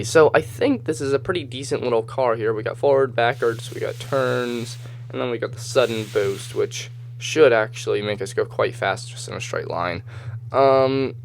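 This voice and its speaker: A man with a slightly hoarse, just-woken voice gives casual gameplay commentary, rambling and thinking aloud as he goes. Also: mid-range voice, slight background noise. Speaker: gamer, host of a gameplay video series. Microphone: voice-over recorded over game footage.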